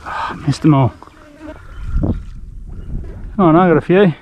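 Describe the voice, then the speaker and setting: A relaxed Australian man with a slightly high voice chats casually while fishing from a small boat. He talks to an action camera and swears now and then. Faint outdoor noise and water sounds sit behind him. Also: a little slow, muffled recording. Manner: laid-back, chatty, swears casually. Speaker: fishing vlogger.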